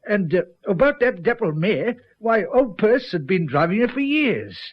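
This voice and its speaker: High-pitched country witness. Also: male voice, sing-song, moderate pace, slightly rough, exaggerated voice. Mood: earnest, helpful. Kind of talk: radio drama acting. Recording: dull lo-fi radio recording.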